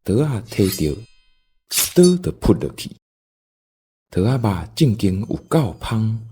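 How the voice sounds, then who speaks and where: A man's voice: lowish voice, dark tone, slightly rough. A man with a Taiwanese accent narrating a scripted folk story as the storyteller.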